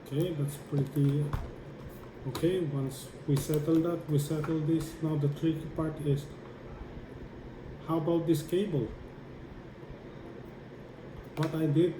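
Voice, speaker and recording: Slow-talking man demonstrating in an echoey room. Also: fairly high pitch, level tone. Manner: halting, explaining step by step while working. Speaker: tutorial presenter. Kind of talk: hands-on how-to demonstration. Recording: echoey room with clear background noise.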